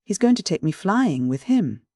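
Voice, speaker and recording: Man voicing an excitable, gushing young girl. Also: sits high, normal speed, dry recording, clean studio sound, pitched above the performer's own voice. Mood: rapturous. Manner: gushing, exclamatory, pettish. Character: dreamy, excitable, impatient young Welsh girl typist.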